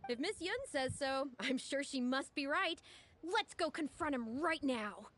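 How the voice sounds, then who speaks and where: A woman acting a naive, earnest young writer in a video game, expressive and wide-eyed. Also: high-pitched, a little slow. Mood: resolved.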